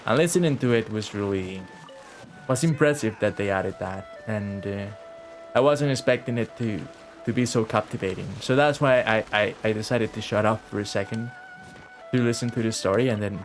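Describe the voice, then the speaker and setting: Casual male game streamer, faint music. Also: medium pitch. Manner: informal, halting, reflective. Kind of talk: casual livestream commentary.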